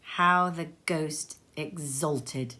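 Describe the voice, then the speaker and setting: A woman reading literary fiction aloud as a storyteller, with an expressive, theatrical delivery that moves between hushed and emphatic. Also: deep voice, very slow. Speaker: storybook reader. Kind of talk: dramatic literary narration, scripted. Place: sitting room at home.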